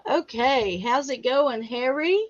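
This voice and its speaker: A woman's voice: sits high, slow, dry recording. A woman hosting a casual livestream chat, folksy in the way she addresses her viewers. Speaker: livestream host. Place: indoors at a computer. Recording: muffled, dull livestream audio.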